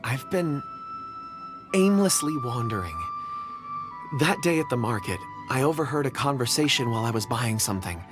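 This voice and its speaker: A man's voice: medium pitch, expressive, moderate pace, over music, lightly acted. A man playing a polite, anxious, absent-minded character in a video game, hesitant and apologetic. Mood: anxious, lost. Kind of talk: English video game voice acting.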